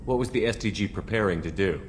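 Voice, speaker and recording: An actor playing a male military commander in a video game, with an even, measured delivery. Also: low voice, slight background noise. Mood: steady. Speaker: ship commander.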